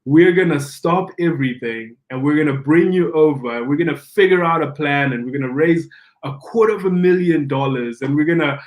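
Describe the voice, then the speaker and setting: A young adult man from Zimbabwe, a pastor, telling his story in a casual podcast chat, animated, often restarting and acting out quoted dialogue. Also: a little high, brisk.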